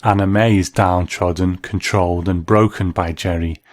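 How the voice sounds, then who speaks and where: A man giving scripted commentary as a reviewer, in a measured, analytical manner. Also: low-pitched, fairly even intonation, no echo. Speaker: narrator reviewing classic television drama.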